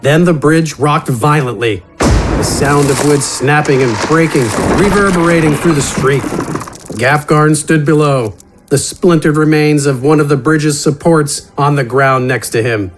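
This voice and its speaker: A man's voice: fairly high pitch, a little slow. A man reading scripted fantasy adventure narration in a dramatic storytelling style. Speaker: narrator and voice actor. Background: music.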